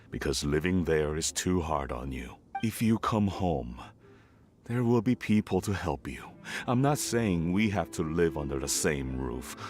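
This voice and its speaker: An actor playing a middle-aged father, stern but caring, speaking earnestly and persuasively. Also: low voice. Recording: game audio with soft music.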